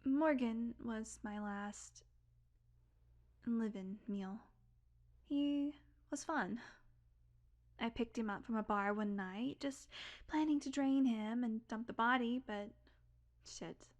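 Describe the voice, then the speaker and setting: Sardonic female vampire , played by an actress, higher-pitched, intimate and wry, brash but secretly tender, for audio roleplay. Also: slow pace, pauses often. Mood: nostalgic, confiding. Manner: casual, confiding, wry, swearing, drifting into whispers.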